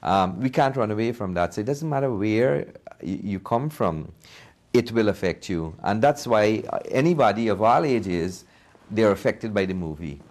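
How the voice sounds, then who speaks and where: A man's voice: on the low side, lively intonation, normal speed, a little mellow. A man being interviewed as a filmmaker, answering earnestly and conversationally, repeating phrases for emphasis. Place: TV studio.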